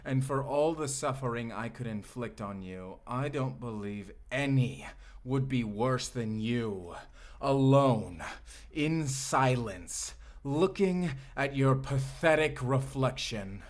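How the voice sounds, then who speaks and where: Man acting a slow-speaking, bitter, vengeful AI companion. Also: mid-range voice, expressive. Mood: menacing, contemptuous. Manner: deliberate, bitter, theatrical delivery. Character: vengeful, obsessive male AI companion turned menacing robot lover. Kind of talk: dark sci-fi audio roleplay, ASMR.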